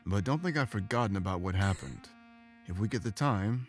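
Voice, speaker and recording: A man's voice: on the low side, animated, fairly quick. A man voicing a devoted, frank warrior beast-man who is eager for combat in a visual novel game. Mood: tender, coy. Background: game music.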